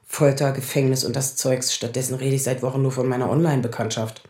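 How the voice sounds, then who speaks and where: A woman's voice: extremely low pitch, fairly even intonation, quick pace, warm tone. In a roomy, echoing space, a woman in her thirties with a sardonic, defensive edge. She confides like a patient in therapy, in the natural style of a radio drama. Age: 30s. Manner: sardonic, confessional.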